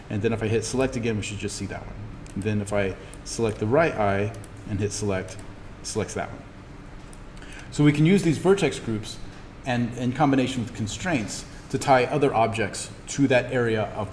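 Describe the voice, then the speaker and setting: A man teaching an introductory animation class, talking informally through software steps and thinking aloud as he demonstrates. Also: on the low side. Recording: steady noise behind the voice.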